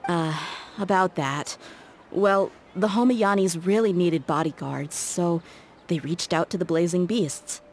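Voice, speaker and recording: A woman's voice: on the low side, unhurried, a little hiss or hum. A woman voicing a tough, loyal young mercenary bodyguard in a game, frank and plain-spoken. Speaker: game character. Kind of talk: game voice acting. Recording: game cutscene with faint music.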